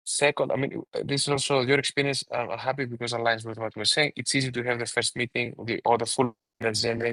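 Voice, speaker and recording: A man speaks as a mentor in an online seminar, at a middling pitch. He gives advice informally, restarting and rewording his sentences. The sound is close and dry, with little room on it. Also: a bit bright, no room sound, poor audio.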